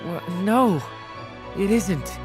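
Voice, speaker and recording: An unhurried, soft-hearted young hero in a fantasy video game. The delivery is earnest and hesitant, anxious to help, over faint music. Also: mid-range voice, expressive. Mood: startled. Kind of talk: dramatic video game voice acting.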